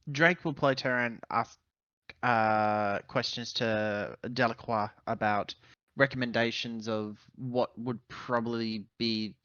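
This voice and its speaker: A man with a forceful, mid-pitched voice plays a tabletop game. He words his questions slowly and haltingly, over a dry, slightly muffled voice-chat mic. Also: smooth, no room sound. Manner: halting, deliberate, wordy phrasing. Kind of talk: casual in-character questioning in a roleplaying game. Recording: dull, dry voice-chat microphone.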